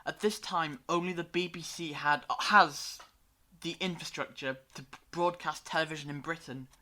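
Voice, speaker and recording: A woman playing a brainy time-travelling alien in a fan audio drama, lecturing gravely in deliberate monologues with dramatic pauses. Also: deep voice, steady tone, pushed and bright. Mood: explaining intently.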